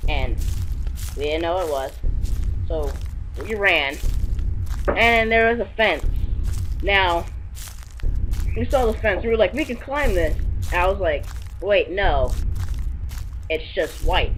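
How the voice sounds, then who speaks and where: A man's voice: sits high, expressive, slow pace, long pauses, fairly bright tone. A young man telling an improvised comic story casually, rambling and leaning often on "like". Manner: rambling, repeats "like". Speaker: storyteller in a comedy skit. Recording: clearly noisy amateur recording.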